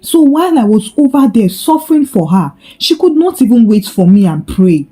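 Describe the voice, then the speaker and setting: Woman acting an embittered, accusing husband, animated pitch. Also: normal speed, slightly rough, soft background music. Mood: confused, bitter. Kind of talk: dramatised folktale storytelling.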